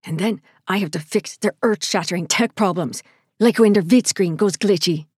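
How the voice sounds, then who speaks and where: A woman's voice: normal speed, darkish tone, slightly rough, no room sound, studio quality, natural voice. Woman voicing a growling, hostile young man. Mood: bitter. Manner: low, rumbling, growling with anger. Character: hostile, bitter young male ward laborer. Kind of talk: audiobook character voice.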